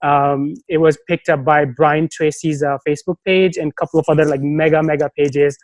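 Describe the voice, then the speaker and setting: A man's voice: fairly high pitch, level tone, a little fast, no room sound. Man, non-native English speaker, interview guest, rambling, lots of fillers, dry close sound. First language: not English. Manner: rambling, heavy on "you know" and restarts. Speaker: guest, startup advisor. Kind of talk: casual interview chat.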